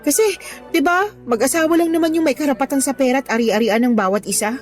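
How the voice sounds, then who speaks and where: A woman's voice: high voice, fast talker, faint music behind, close to an everyday voice. An actress playing a hurt, indignant young girlfriend who argues emotionally in a Tagalog radio drama. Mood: aggrieved, confiding. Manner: emotional, argumentative.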